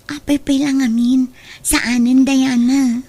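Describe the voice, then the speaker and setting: Mellow, soothing young woman. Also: high-pitched, level tone, takes it slowly, dry recording. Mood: concerned. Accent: Ilocano. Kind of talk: Ilocano radio drama acting.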